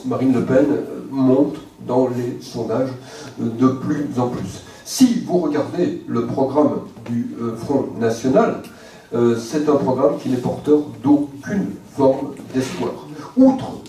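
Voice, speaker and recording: Man, mellow dark voice, lecture talk, halting fillers, booming hall echo, distant mic. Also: slight background noise. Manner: measured, with frequent hesitant fillers. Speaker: guest speaker. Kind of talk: lecture to an audience. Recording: very echoey hall, mic far off.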